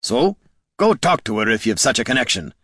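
A gruff fantasy warrior performed by a male narrator. He is wry and grudging, with old-fashioned wording and an animated rise and fall for a storybook. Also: medium pitch, brisk, no echo, pitched above the performer's own voice, exaggerated voice. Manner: gruff, dry, archaic "aye" and "ye".

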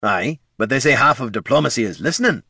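A man's voice: mid-range voice, lively intonation, fast talker, vocal fry, pushed and bright, slightly rough, dry recording, pitched above the performer's own voice, exaggerated voice. A man voicing a blunt, practical male dwarf warrior with dry humor.

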